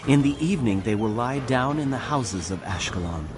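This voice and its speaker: An actor playing a grave prophet proclaiming coming doom, narrating dramatized scripture with solemn, emphatic delivery. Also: male voice, mid-range voice, lively intonation, moderate pace, over music, close to an everyday voice. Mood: grave proclamation.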